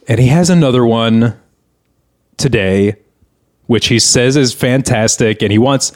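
A man's voice: medium pitch, a little slow. Grumbling male podcast host. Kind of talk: casual comedic podcast banter.

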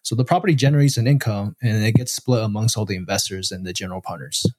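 Man from Taiwan, halting and dry. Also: lowish voice, no echo. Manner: many fillers and restarts, relaxed and halting. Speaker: podcast guest, real estate syndicator. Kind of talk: casual interview answers.

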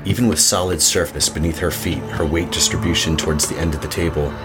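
Very low male voice, horror audio drama narrator, measured, suspenseful, music underneath. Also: fairly even intonation. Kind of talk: scripted horror story narration.

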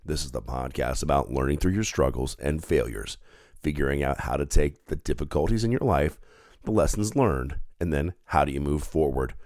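A man's voice: a bass voice, lively intonation, normal speed, darkish tone, no room sound, studio quality. A man hosting his own podcast, stuffed up with a head cold, talking in an earnest, reflective and conversational way. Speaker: podcast host. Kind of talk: solo podcast monologue.

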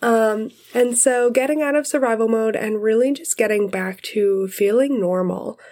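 A woman's voice: a little high, normal speed, a little mellow. Chatty, congested woman podcast host. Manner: friendly, chatty. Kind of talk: casual chat.

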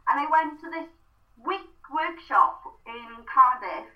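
A woman's voice: high-pitched, lively intonation, slow. A young woman in her twenties from the South Wales Valleys, whose first language is Welsh, chatting as a podcast guest with plenty of ums. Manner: chatty storytelling, frequent ums. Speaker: podcast guest, theatre student. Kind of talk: casual interview chat. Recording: distant, muffled phone-line call.

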